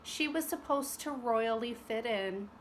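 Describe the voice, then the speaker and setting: A woman's voice: fairly high pitch, measured pace, slight background noise. A woman narrating a children's storybook expressively, with teacherly asides to young listeners. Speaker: storybook reader.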